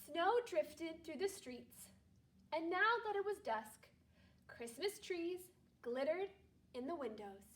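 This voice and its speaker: High-voiced woman teacher reading to children. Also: slow pace, recorded from a distance. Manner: animated, exclamatory, talks directly to young children. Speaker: second grade teacher. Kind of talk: children's storybook read-aloud.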